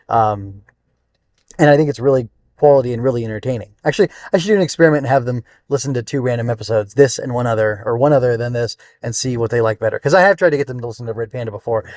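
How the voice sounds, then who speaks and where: A man's voice: medium pitch, a little mellow, no echo, studio quality. A man hosting a podcast, giving a casual unscripted review, rambling and searching for words as he thinks aloud.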